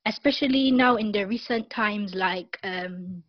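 A woman's voice: mid-range voice, a little slow, no echo, highs cut off. A young woman from Barbados with a Barbadian accent, a guest talking casually in an interview, with many fillers and restarts.

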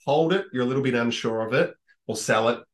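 A male podcast host who talks fast in a mid-range voice. He asks his questions briskly and cheers his guest on. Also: medium pitch. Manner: encouraging, quick backchannel replies. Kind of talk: podcast interview.

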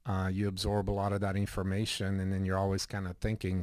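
A middle-aged man from Switzerland speaks as an interview guest with a low, level voice that barely rises or falls. He talks in a relaxed, rambling way, full of fillers. The recording is dry and close. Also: dry recording. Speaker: guest, health shop owner. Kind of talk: casual interview talk.

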